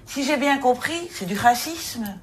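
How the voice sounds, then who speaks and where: Stern woman prosecutor, higher voice. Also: expressive, a little slow. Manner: stern, firm, pointed questions. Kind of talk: official questioning in an office. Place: office.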